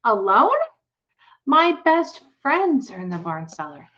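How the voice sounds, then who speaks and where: A woman's voice: a little high, sing-song, takes it slowly, fairly bright tone, highs cut off, voice pushed up, exaggerated voice. A woman reading the part of an earnest young farm girl in a storybook. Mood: eager.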